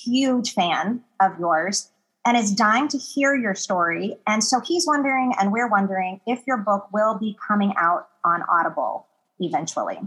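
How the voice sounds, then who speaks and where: A woman's voice: medium pitch, expressive, normal speed, a bit bright, breathy edge, low-quality recording. A woman co-hosting an interview, speaking earnestly and rambling a little, with lots of fillers. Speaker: podcast co-host. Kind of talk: interview conversation.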